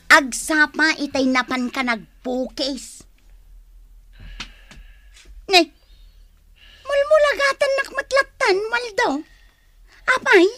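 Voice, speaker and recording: A woman's voice: high-pitched, bright, forceful tone, full character voice. A woman acting as a fussing, protective wife in an Ilocano radio drama, scolding and exclaiming, with a northern Philippine Ilocano accent. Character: Waldo's fussing, protective wife, exasperated but caring.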